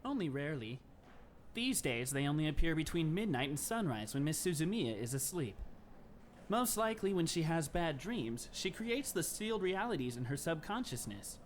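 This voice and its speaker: Man as polite, high-voiced anime schoolboy. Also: very animated, a little hiss or hum. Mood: calm, pleased. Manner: courteous, measured, formal. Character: polite, composed teenage schoolboy esper. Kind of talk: anime fandub acting.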